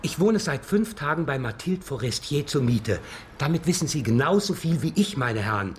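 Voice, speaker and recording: An actor playing a prickly, defiant blind suspect in a German radio crime drama, curt and formally sarcastic. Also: male voice, on the high side, very animated, moderate pace, a little hiss or hum. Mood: irritated, defiant. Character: prickly, sardonic, defiant blind man under police suspicion.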